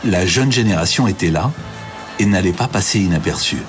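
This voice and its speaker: A man with a lowish voice reads scripted documentary narration in a measured, even way. It is a studio voice-over, with music playing underneath.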